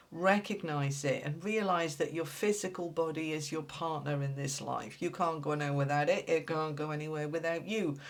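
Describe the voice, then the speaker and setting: A woman giving a casual tarot reading to her viewers, sounding congested and nasal, talking in a direct, blunt and encouraging way. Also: low-pitched. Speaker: tarot reader.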